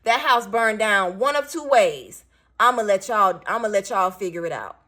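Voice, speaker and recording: A raspy-voiced woman in her thirties, a single parent venting bluntly and with swearing as she tells a story to her viewers. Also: medium pitch, expressive, normal speed, pushed and bright. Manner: blunt, profane, emphatic.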